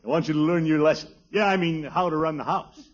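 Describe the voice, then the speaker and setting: An actor playing a pompous middle-aged American uncle with a blustery, chuckling manner in a 1950s radio sitcom. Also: male voice, a little high, steady tone, lightly acted. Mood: jovial. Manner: chuckling, blustery, drawn-out exclamations. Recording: dull vintage 1950s radio recording.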